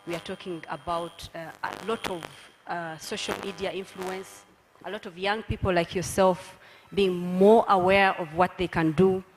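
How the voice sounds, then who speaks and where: A woman's voice: on the low side, fairly even intonation, normal speed. A woman moderating a public panel discussion in a formal, somewhat hesitant way. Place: lecture hall with an audience. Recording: noisy hall with crowd sound.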